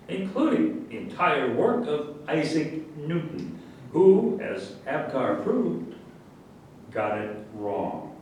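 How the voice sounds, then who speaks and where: Heard from far off in a very echoey room, a man playing a pompous, easily offended general holds forth in a staged play reading. His emphatic lecturing swings widely in pitch, with grand self-importance. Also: darkish tone. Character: pompous, indignant male general lecturing pseudo-scientific nonsense. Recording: distant echoey room with faint rumble.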